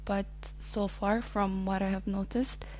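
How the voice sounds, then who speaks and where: A woman's voice: mid-range voice, level tone, a little slow, a little mellow, slight background noise. Easygoing woman in her twenties. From Ethiopia. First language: Amharic. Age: 20s. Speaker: podcast guest. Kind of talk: casual interview chat.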